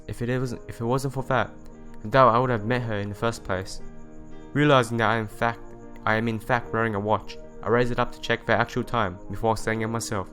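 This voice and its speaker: A man's voice: mid-range voice, a bit bright. Man reading a visual novel aloud over music. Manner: stumbles and rereads phrases. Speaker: let's play host. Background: game music.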